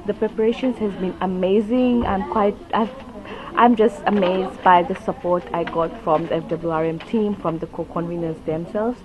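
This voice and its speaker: A woman's voice: lowish voice, steady tone, normal speed, muffled recording, noisy background. An upbeat woman giving a casual, enthusiastic interview as an event organiser. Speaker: workshop organiser being interviewed. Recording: crowd chatter behind the voice. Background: crowd chatter.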